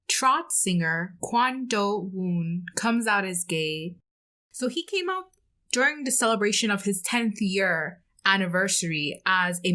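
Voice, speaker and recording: A woman's voice: lowish voice, pushed and bright. A woman co-hosting a podcast in a casual, opinionated way, with sassy, slangy talk. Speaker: podcast co-host.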